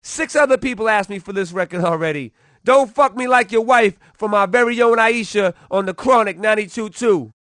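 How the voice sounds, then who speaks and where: A man's voice: high voice, a little fast, a bit bright, no echo, studio quality. A man performing as a brash, hyped-up hip-hop radio DJ, announcing in punchy, slangy, promotional patter. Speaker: radio DJ host.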